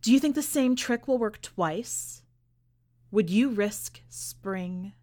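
A woman's voice: medium pitch, slow pace, a little mellow, close to an everyday voice. Narrator as a bold, taunting young woman clown. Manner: taunting, insistent.

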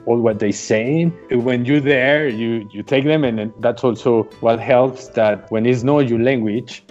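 Man, Spanish native speaking English, mellow low voice, reflective, hesitant fillers, lo-fi sound. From Mexico. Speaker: guest. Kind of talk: casual remote conversation.

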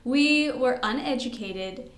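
A woman's voice: on the high side, slow pace. A young woman co-hosting a podcast in casual chat, rambling and giggly, poking fun at herself and sounding tired. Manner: giggly, rambling, self-deprecating. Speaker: podcast co-host. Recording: roomy echo.

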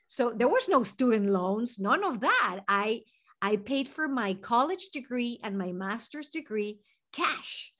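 A woman's voice: fairly high pitch, lively intonation, measured pace, fairly bright tone. Earnest Venezuelan-accented woman. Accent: Venezuelan Spanish. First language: Spanish. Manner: earnest, reflective, speaks in long unfolding sentences. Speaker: guest, licensed mental health therapist. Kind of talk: podcast interview.

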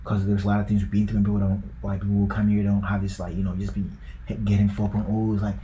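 A man's voice: on the low side, completely flat delivery, fast, dark tone, echoey room, a little hiss or hum, rough recording. A young man from Ghana with a Ghanaian accent, talking loosely on a podcast and filling his talk with likes and bros. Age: young adult. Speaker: podcast co-host. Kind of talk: casual podcast chat.

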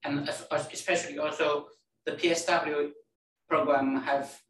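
A man from China, a native Chinese speaker, answering interview questions in halting English that repeats words. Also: fairly high pitch, flat delivery, a bit bright. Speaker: guest, telecom network planner. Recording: roomy, distant mic.

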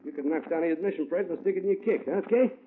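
An actor playing a fast-talking, excitable male talent agent in a 1950s radio drama, using streetwise slang. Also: high-pitched, a little hiss or hum, natural voice. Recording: tinny old radio broadcast.

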